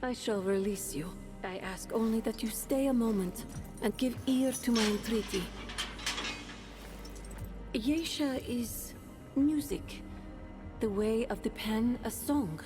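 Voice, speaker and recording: Serene alien ruler, game dialogue, slow delivery, earnest, solemn, lyrical, faint music behind. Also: female voice, a little high. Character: calm, earnest alien woman, serene stand-in ruler pleading for her people. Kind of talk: video game dialogue acting.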